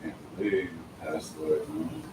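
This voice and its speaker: A man's voice: rumbling low, steady tone, slow. A man hosting a live stream, talking casually and in slang, half to himself, as he reacts to a video. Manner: laid-back, slangy, talks to himself. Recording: echoey room, distant mic, background noise.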